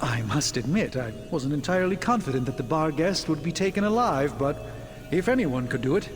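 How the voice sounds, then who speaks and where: Guy acting learned wizard doctor in a video game, formal n precise, measured, music behind him. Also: a little high, lively intonation, lightly acted. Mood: composed. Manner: formal, precise, deliberate phrasing. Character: formal, learned male sorcerer doctor, measured and self-assured.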